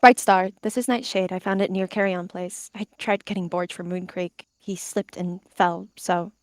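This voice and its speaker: A woman's voice: lowish voice, fairly even intonation, moderate pace, dry recording, lightly acted. A woman voicing an anxious but kind young she-cat of a wild clan in a roleplay game, earnest and hesitant.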